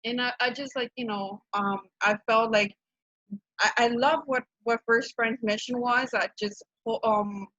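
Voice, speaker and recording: Bright-voiced woman with a Honduran accent. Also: fairly high pitch, steady tone, unhurried, dry recording. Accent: Honduran Spanish. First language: Spanish. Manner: earnest, many fillers and restarts. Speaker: program director of a nonprofit. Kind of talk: casual presentation on a video call. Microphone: video call microphone. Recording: dull, dry video-call audio.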